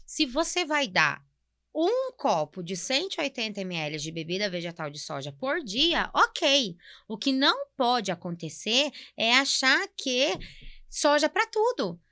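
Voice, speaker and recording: A woman's voice: fairly high pitch, big pitch swings, measured pace, pushed and bright, no echo, clean studio sound. A woman with a Brazilian accent giving didactic, conversational explanations as a podcast guest, often acting out short example remarks. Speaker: guest nutritionist on a health podcast.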